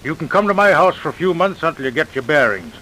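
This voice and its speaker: Encouraging parole clerk, friendly, animated, on a hissy vintage radio recording. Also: male voice, fairly high pitch, fast talker, low-quality recording. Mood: reassuring. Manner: genial, reassuring. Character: kindly, encouraging prison parole clerk, genial and reassuring. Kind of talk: vintage radio drama acting.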